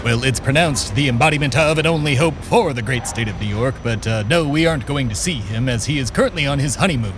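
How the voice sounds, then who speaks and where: A man's voice: medium pitch, lively intonation, fast, hardly any pauses, over music, natural voice. A man playing a pompous, whimsical immortal host in a comedic audio drama, with theatrical, grandiose phrasing and casual asides. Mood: pompous, breezy. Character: immortal, pompous, whimsical male American-embodiment podcast host. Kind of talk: comedic audio drama and mock-broadcast narration.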